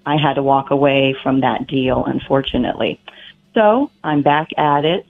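A hoarse woman calling in to a radio show, earnest and grateful. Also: very deep voice, moderate pace. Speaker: caller. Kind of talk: phone-in chat. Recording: tinny phone line.

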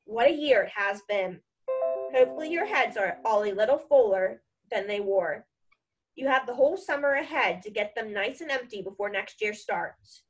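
A woman voicing a cheerful, whimsical old male headmaster addressing pupils in a storybook, in a measured, ceremonious way. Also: on the high side, expressive, husky, dull audio, faint music behind. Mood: cheerful, ceremonial.

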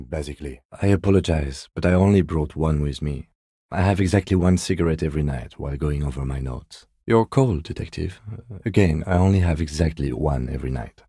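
An actor playing a reserved, principled middle-aged police detective in a video game, with a measured, dry and formal manner. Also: male voice, sits low, mellow, breathy edge, dry recording. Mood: dryly polite.

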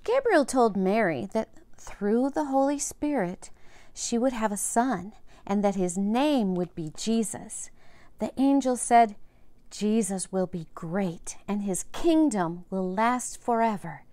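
A woman in her fifties reading a story aloud to young children and gently explaining it in simple words. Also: medium pitch, animated, slow pace, no echo. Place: indoors, home room with bookshelves. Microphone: lapel mic.

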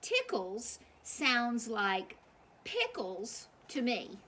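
A woman's voice: on the high side, wildly swooping pitch, very slow, sharp tone, full character voice. A woman voicing a clever, earnest little storybook boy who carefully sounds out his words. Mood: thoughtful.

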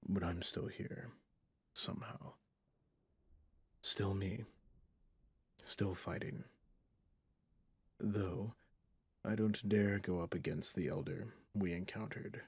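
A centuries-old male vampire hunter, acted by a man, stern and heavy with guilt, confides in an ASMR roleplay. His voice is very husky and breathy, often sinking toward a whisper. He speaks slowly and haltingly, very close and dry. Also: deep voice, steady tone, no echo, full character voice. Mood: grim, guilty. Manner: slow, halting, solemn, half-whispered.

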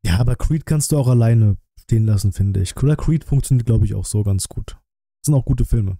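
A man's voice: on the low side, fairly quick, mellow, no room sound. A man in his thirties giving casual reaction commentary as a streamer, in blunt, slangy, colloquial speech on a close microphone. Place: small indoor streaming room. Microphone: close desk microphone.

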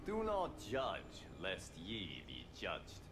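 An actor playing a righteous fire god in a video game, grave and commanding. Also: male voice, low-pitched, huge pitch swings, drawn-out words, fairly bright tone, soft background music, full character voice. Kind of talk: video game fight intro banter.

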